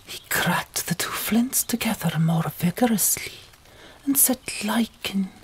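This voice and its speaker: A woman's voice: medium pitch, expressive, unhurried, poor audio. A woman narrating an audiobook in a whispered ASMR style.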